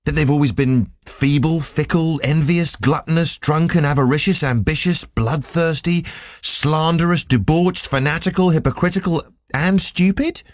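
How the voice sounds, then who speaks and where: A man's voice: on the high side, moderate pace, no echo, studio quality, pitched above the performer's own voice, natural voice. A man voicing a naive, earnest, wide-eyed young man full of questions in an audiobook. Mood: earnest, indignant. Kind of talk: audiobook character voice.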